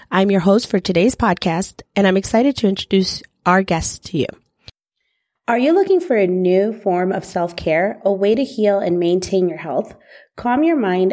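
A woman's voice: mid-range voice, darkish tone. A woman hosting a podcast interview in an upbeat, friendly way. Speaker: podcast host.

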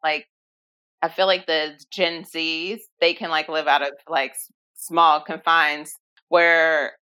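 A woman of about forty speaks as an interview guest, her voice sitting on the low side for a woman. She sounds thoughtful and sincere, often restarting a phrase before she finds her point. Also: level tone, slow, sharp tone, smooth. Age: around 40. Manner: reflective, earnest, with small restarts. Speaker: guest, minimalism business owner. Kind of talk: casual interview chat.

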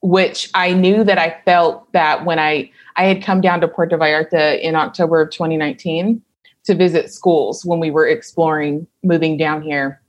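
A woman's voice: low voice, steady tone, normal speed. A woman from Kentucky, an entrepreneur and podcast guest, talking reflectively and thinking aloud. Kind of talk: casual interview talk.

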